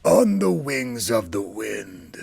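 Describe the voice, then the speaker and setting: A man voicing a tormented male ghost in chains, with slow, wailing, drawn-out lines. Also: medium pitch, sing-song, creaky, darkish tone, breathy edge, no room sound, studio quality, heavily acted. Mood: mournful.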